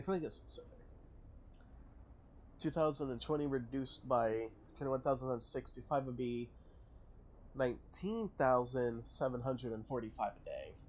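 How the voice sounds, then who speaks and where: A man's voice: mid-range voice, slight background noise. A man casually commenting on his strategy game, thinking aloud and correcting himself as he goes. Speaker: gaming channel host. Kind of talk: casual let's-play commentary.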